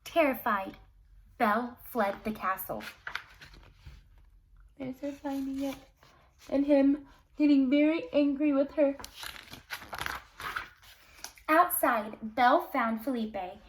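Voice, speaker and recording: Unhurried, high-voiced woman storyteller. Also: slight background noise, rough recording. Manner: expressive, dramatic storytelling. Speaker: storyteller, teacher. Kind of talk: read-aloud children's fairy tale with casual asides.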